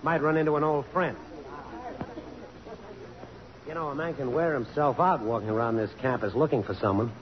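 A man's voice: medium pitch, fast talker, long reverb tail, dull audio, lightly acted. Hissy old radio drama, guy acting as a wry bitter american man, slangy, pushy, lovesick. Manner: sardonic, slangy, insistent. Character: wry, proud, lovelorn man, needling and bitter.

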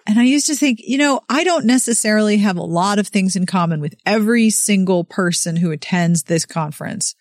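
A woman's voice: medium pitch, brisk, hardly any pauses, no echo. Earnest woman host, dry close sound. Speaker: podcast host. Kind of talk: conversational interview.

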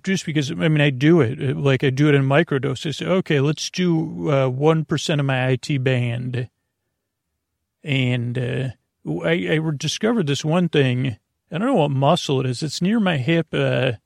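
Man, creaky voice, medium pitch, meandering bedtime podcast host, halting and digressive. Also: no room sound, clean studio sound. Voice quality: creaky, gravelly. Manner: halting, digressive, trailing off and restarting.

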